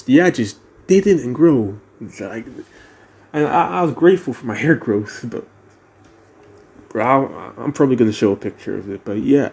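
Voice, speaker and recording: A man's voice: medium pitch, vocal fry, darkish tone. A teenage boy with a mixed American and British accent, rambling in a casual monologue, hesitant and musing, with many restarts. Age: teens. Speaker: vlogger.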